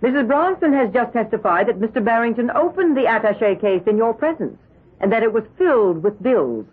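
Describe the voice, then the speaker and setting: An actress playing a stern, sceptical prosecutor with pointed, insistent questioning in a 1970s radio drama. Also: female voice, on the high side, lively intonation, brisk, breathy edge. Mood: sceptical, probing. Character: stern, sceptical female prosecutor cross-examining a witness. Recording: muffled lo-fi 1970s radio recording.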